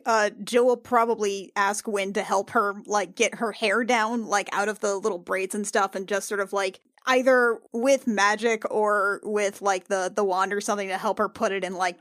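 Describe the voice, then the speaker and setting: A woman's voice: medium pitch, fairly even intonation, normal speed, few pauses, a bit bright, no room sound. Casual woman player, close dry sound. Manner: informal, thinking aloud. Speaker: tabletop game player. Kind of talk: casual chat during a roleplaying game.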